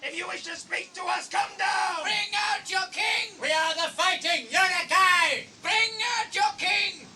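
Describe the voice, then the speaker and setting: An actor in a radio drama playing a brutish, taunting orc soldier, jeering in a harsh, strained shout. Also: male voice, very high-pitched, unhurried, few pauses, distant mic, some chatter behind, slight background noise, exaggerated voice. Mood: jeering, threatening. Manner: jeering, chanting. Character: brutish, taunting orc war-band soldier, savage and boastful.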